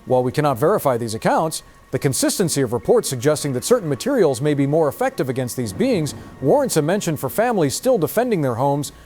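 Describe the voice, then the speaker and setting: A man playing a solemn emergency broadcaster, reading a formal, measured bulletin in a radio drama. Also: on the high side, very animated, a little mellow. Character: solemn male emergency broadcaster addressing besieged townsfolk. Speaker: radio news announcer. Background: music.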